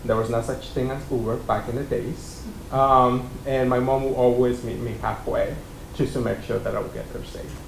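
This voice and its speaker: A man in his thirties, from Mexico, tells his story to a college class from a seated panel. He speaks into a desk mic in a relaxed, conversational way, filling his pauses with ums and uhs. The room sounds echoey, and a faint rumble runs underneath. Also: creaky. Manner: conversational, many ums and uhs, gestures with hands. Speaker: keynote speaker. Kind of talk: personal story talk to students. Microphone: desk mic on stand.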